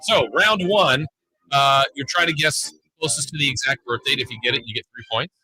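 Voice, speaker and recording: A male sports radio host with a mid-pitched, very bright and forceful voice. There is a husky grain to it as he runs a lively on-air game, bantering and calling out turns. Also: moderate pace, soft background music, rough recording.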